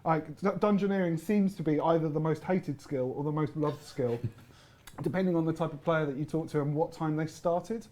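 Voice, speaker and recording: Higher-voiced man in casual discussion. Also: normal speed, dark tone, a little hiss or hum. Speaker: game developer. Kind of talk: casual chat, reflective explanation. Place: live stream room in an office.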